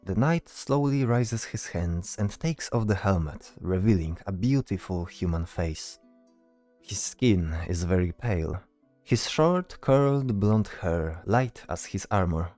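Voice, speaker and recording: Deep-voiced man narrating a fantasy story. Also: animated, unhurried, a little mellow, faint music behind.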